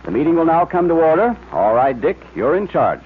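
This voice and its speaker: Lively American radio announcer, tinny vintage sound. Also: male voice, a little high, fairly quick. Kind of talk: 1940s radio advertising and serial narration. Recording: scratchy old radio broadcast, narrow band, hiss.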